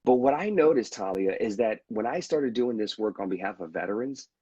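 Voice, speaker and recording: A man with a husky, lowish voice tells his own story in an earnest, reflective way. He often stumbles and restarts a sentence. The sound is dry and close, with the dull, lo-fi quality of a video call. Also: animated, fairly quick, dark tone, no room sound. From United States. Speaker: veteran guest. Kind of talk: candid conversational storytelling.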